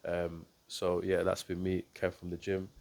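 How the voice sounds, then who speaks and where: A man's voice: sits low, completely flat delivery, unhurried, gravelly, darkish tone. A man with a blocked-up nose from a cold, giving a casual boxing breakdown to camera as a coach and YouTuber, thinking aloud with ums and restarts. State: congested with a cold.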